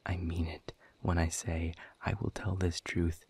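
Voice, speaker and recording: A man playing a hopeless, exiled demon in an audio roleplay, weary, bitter and sardonic, with a husky, breathy voice. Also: sits low, monotone, darkish tone, no room sound, full character voice. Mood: resigned, sardonic. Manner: slow, grave, resigned, sardonic. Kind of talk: intimate audio roleplay acting.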